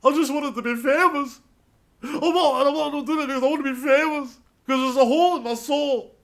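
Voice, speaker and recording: A man voicing a whiny, self-pitying, fame-hungry person in a high, strained wail, pleading childishly for a stand-up satire. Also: fairly quick. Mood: whiny, desperate.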